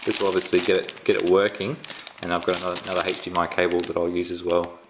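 An Australian man giving a casual unboxing walkthrough at home, halting and full of ums and restarts. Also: low-pitched, monotone, noisy background. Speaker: tech reviewer. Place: indoors at home, filming the box up close.

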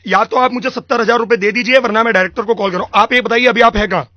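A man's voice: extremely high pitch, steady tone, racing, no room sound. A man with an Indian accent playing an irate, pushy vendor who badgers and repeats demands on a prank phone call. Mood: accusatory. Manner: badgering, repetitive, accusatory. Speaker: prank caller. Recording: dull lo-fi radio recording.

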